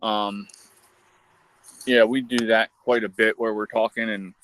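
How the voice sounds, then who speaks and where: A man hosting a casual livestream, easygoing and relaxed, reading out the chat and swearing now and then. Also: on the low side, unhurried, a little hiss or hum, poor audio. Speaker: livestream host.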